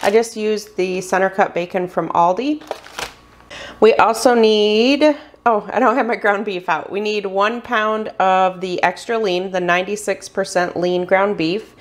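A woman's voice: mid-range voice, steady tone, a little slow. Chatty woman walking through recipes. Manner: chatty, explains step by step. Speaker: cooking channel host. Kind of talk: casual meal prep tutorial. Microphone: voice-over while hands cook on camera.

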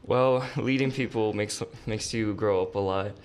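A man's voice: lowish voice, moderate pace, smooth. A young man from Bolivia, a graduating student, answering in an easygoing way on a studio mic. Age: young adult. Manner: easygoing, light laughs. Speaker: student guest. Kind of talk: casual interview answers. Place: studio room. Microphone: studio mic on boom arm.

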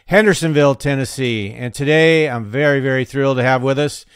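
A man in his fifties hosts an interview podcast with an upbeat, jokey way of bringing in his guest. His voice is smooth and resonant. The sound is dry and close, from a studio mic on a boom arm. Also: fairly quick, no echo. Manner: upbeat, jokey introductions, brief affirmations. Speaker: podcast host. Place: home studio.

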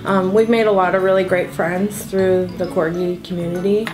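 A woman's voice: lowish voice, little pitch movement, moderate pace, low-quality recording. A woman in her forties, seated at a table indoors, chatting casually in an interview with an upbeat, easy manner and frequent ums. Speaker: event co-founder. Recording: soft music bed underneath.

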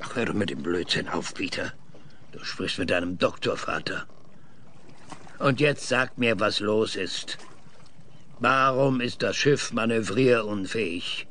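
Bright, forceful older professor. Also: male voice, lowish voice, a little slow. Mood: indignant. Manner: indignant, blustering. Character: irascible older professor, sidelined space mission trainer. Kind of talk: German audio drama acting.

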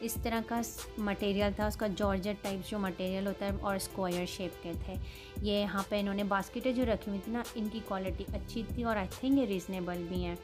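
A woman's voice: medium pitch, fairly even intonation, a little fast. A woman in her thirties with a Pakistani Urdu accent, talking casually in a shopping haul vlog and frankly judging prices and quality item by item. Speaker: vlogger. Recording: voice-over with loud background music. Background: music.

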